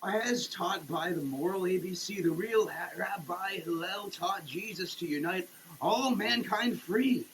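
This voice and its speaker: A man giving a dramatic, preachy reading with emphatic pauses, like a sermon. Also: high voice, a bit bright, a little hiss or hum, rough recording. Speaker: sketch performer. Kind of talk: dramatic reading of a soap label, declaimed like a sermon. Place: shower, per the page.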